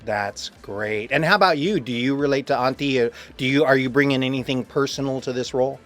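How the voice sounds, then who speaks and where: A man's voice: mid-range voice, a little fast, a bit bright, soft background music. A man interviewing someone casually, with an enthusiastic, encouraging way of talking.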